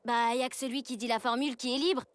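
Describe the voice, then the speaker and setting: A woman voicing a small, blunt, scatterbrained fairy student in an animated comedy, with a flippant, sassy delivery. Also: a little high, fast, lightly acted. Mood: bossy. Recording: faint music behind the voice.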